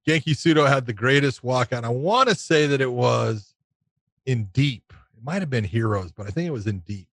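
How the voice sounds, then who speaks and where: A man's voice: medium pitch, expressive, normal speed, a bit bright, dry recording. A man co-hosting a casual sports podcast, a former referee who stresses his points by repeating them.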